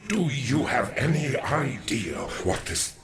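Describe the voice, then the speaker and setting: A man voicing a grave, indignant alien warrior elder in a game, gravelly, solemn and accusing. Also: mid-range voice, very animated, slow. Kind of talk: dramatic game dialogue. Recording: echoey, with music behind.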